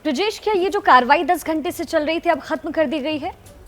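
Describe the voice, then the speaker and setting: Woman reading tv news as anchor, really high pitched voice. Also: fairly quick, a bit bright. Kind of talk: television news presenting.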